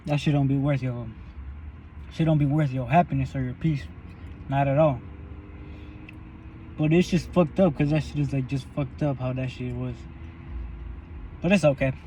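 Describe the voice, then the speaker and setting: A man's voice: mid-range voice, steady tone, moderate pace, long pauses, warm tone, a little hiss or hum, low-quality recording. A young man talking while he eats in a parked car, in a loose, rambling and profane way for his vlog. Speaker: vlogger. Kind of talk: casual eating vlog monologue.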